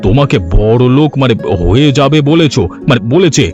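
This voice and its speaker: A man's voice: mid-range voice, normal speed, muffled recording, over music, close to an everyday voice. A man voicing a curious village landlord in a cartoon, hemming and wheedling. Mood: wheedling, hesitant. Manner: hemming, sceptical then wheedling. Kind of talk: Bengali cartoon story voice acting.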